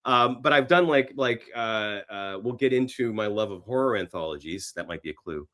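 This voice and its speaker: A man's voice: on the low side, expressive, moderate pace, a bit bright. A man talking casually as a guest in a podcast interview, with a joking, self-deprecating manner. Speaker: actor and screenwriter.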